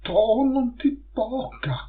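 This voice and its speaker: A man's voice: very high voice, very animated, voice pushed up. A man voicing a joking, easily frightened tailor in a folktale. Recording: muffled phone-like audio.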